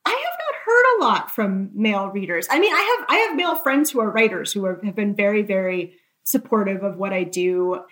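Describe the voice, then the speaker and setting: A woman's voice: mid-range voice, big pitch swings, fairly quick, hardly any pauses, fairly bright tone. A woman author being interviewed, talking candidly and conversationally, with self-deprecating asides and many loose hedges. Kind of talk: conversational interview, telling anecdotes.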